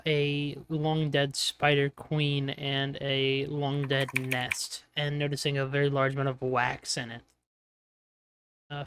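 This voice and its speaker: A man's voice: medium pitch, fairly bright tone, slight background noise. A young man acting as game master in a casual voice chat, joking and teasing his players and often trailing off mid-sentence. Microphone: online voice chat mic.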